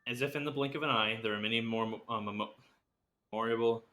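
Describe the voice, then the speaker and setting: A man's voice: mid-range voice, fast talker, pushed and bright. A man doing casual livestream game commentary with wry asides, sometimes reading dialogue aloud. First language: English. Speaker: game streamer.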